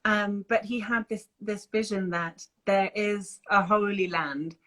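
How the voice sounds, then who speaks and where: Woman guest, bright tense voice, thoughtful and relaxed, lots of ums. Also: medium pitch, unhurried. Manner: thoughtful, relaxed, with frequent fillers. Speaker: singer and guest. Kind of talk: casual conversational interview.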